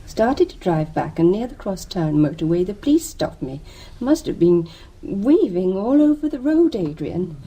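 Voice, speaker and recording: Soft-toned, drowsy woman. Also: mid-range voice, sing-song, moderate pace, husky. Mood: groggy, apologetic. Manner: hesitant, drowsy. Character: dopey, groggy, apologetic woman, gentle and hesitant. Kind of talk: radio drama acting.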